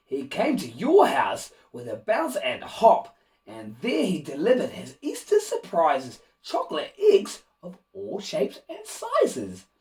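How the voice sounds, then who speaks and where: A man reading a rhyming children's picture book aloud in an expressive, animated way, with asides to young listeners. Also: sits high, takes it slowly, distant mic. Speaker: children's storybook reader and host.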